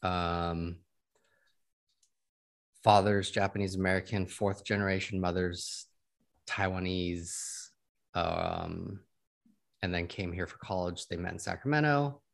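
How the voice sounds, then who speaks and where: A man in his thirties from California talks in a very low voice as a podcast guest. He sounds easygoing and open as he talks through his background. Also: steady tone, slow, vocal fry, pauses often. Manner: easygoing, laughs often. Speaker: podcast guest, creative director. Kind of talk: casual interview chat.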